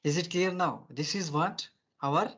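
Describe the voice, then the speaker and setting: A male teacher giving a soft skills class, rambling with many "you know" fillers. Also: fairly high pitch, measured pace. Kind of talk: online class lecture. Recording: dull lo-fi audio.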